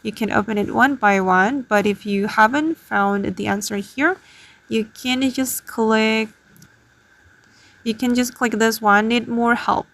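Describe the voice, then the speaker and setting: A woman's voice: on the high side, fairly even intonation, a little slow, fairly bright tone. A woman hosting a casual tutorial, explaining things step by step to her viewers. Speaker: tutorial host. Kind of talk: casual spoken screen tutorial.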